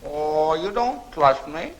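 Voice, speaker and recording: Slow, high-voiced sly informant. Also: male voice, big pitch swings, low-quality recording. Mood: humble, sly. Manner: broken, stilted, formal phrasing. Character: sly Chinese shopkeeper informant, humbly ingratiating adult male. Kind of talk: 1940s film serial acting. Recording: old 1940s film soundtrack.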